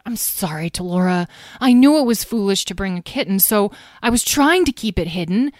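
A woman voicing an excitable, apologetic boy adventurer in a children's story, with an earnest, flustered, rambling manner. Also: medium pitch, normal speed, dry recording, clean studio sound, lightly acted. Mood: apologetic, pleading.

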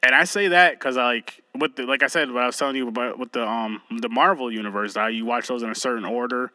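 A man's voice: medium pitch, quick pace, sharp tone, no echo. A man co-hosting a podcast, chatting loosely with a friend, joking and swearing as he goes. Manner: loose, joking, profane banter. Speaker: podcast co-host. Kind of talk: casual chat.

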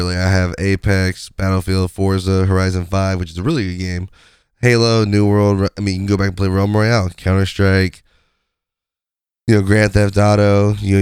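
A man hosting his podcast in a casual, rambling way, his voice raspy from a sinus infection. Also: deep voice, darkish tone, no echo, clean studio sound. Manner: rambling, conversational, many fillers. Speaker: podcast host. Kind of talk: casual solo podcast chat.

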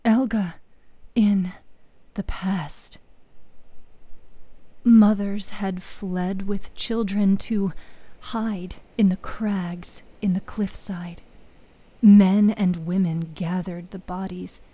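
A woman narrating a scripted fantasy story in a solemn, dramatic manner with hushed phrase endings. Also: medium pitch, fairly even intonation, very slow, long pauses, no echo.

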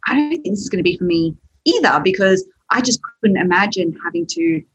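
A woman's voice: mid-range voice, animated, fairly quick, highs cut off. A young Australian woman with an Australian accent, telling her story as a podcast guest in a chatty way, laughing often. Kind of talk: podcast interview.

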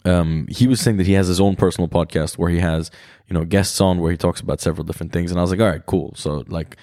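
Male solo podcaster with a very deep voice, casual and rambling, recorded dry in his room. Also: fairly even intonation, fast talker, warm tone, no room sound. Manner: rambling, reflective, swears freely. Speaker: podcast host.

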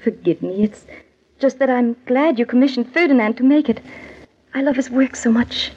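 Dreamy, soft-toned, high-voiced bride. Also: female voice, normal speed, slightly rough. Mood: eager, wistful. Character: dreamy, enraptured young bride-to-be of a nobleman. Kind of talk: old-time radio drama acting. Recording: muffled old radio recording, faint noise.

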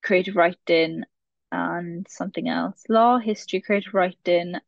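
A woman's voice: fairly low pitch, steady tone, unhurried, gravelly, dry recording. Welsh valleys girl, 20s, rambly chatty guest, kinda muffled dry sound. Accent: South Wales Valleys. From Wales. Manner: chatty, rambling, laughs at herself. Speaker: podcast guest. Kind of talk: casual chat.

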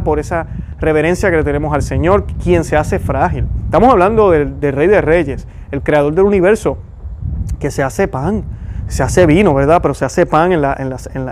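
A man's voice: fairly high pitch, darkish tone, a little hiss or hum. Earnest Puerto Rican man posing questions. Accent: Puerto Rican Spanish. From Puerto Rico. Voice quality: frequent restarts and "¿verdad?" tags. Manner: earnest, asks many rhetorical questions. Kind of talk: religious commentary to viewers.

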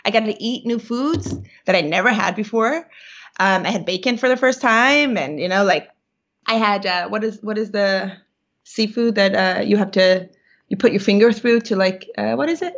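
A woman's voice: medium pitch, normal speed. A woman in her thirties from New York, whose first language is Yiddish, telling her personal story in an interview, reflective and searching for words with many fillers. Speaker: guest. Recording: dull lo-fi recording.

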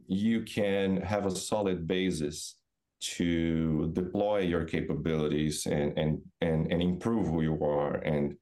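A middle-aged man from Brazil with a Brazilian accent, a native Portuguese speaker, giving thoughtful, halting explanations as an interview guest. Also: deep voice, warm tone. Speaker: podcast guest, business professor. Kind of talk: reflective interview answers.

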